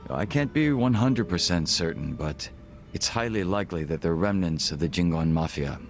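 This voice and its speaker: An actor playing a composed, formal gangster second-in-command in a video game cutscene, measured and reserved. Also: male voice, deep voice, lively intonation, faint music behind. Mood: dryly composed.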